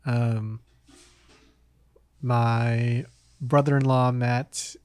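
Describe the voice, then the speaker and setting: A man's voice: mid-range voice, flat delivery, extremely slow, darkish tone, no echo. Man chatting casually, close and dry. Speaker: podcast host. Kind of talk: casual podcast chat about games.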